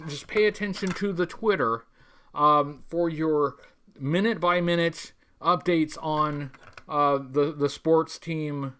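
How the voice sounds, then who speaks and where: Male livestream host, slightly high-pitched, rambling, stop-start, wry, tongue-in-cheek, casual chat. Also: fairly bright tone, smooth. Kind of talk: casual livestream chat reading viewer messages.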